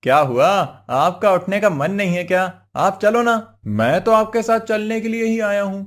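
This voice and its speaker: A man's voice: sits high, expressive, moderate pace. A man playing a crafty, cheerful village husband in a cartoon moral story, coaxing and exclaiming in an exaggerated way. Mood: sly.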